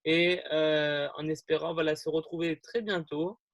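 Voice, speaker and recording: A young man leads an online group gathering, talking over a video call. His voice sits a little high and the sound is dry and close. He rambles in a friendly way, full of fillers and restarts. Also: level tone, measured pace, no room sound. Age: young adult. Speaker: youth group leader hosting an online meeting.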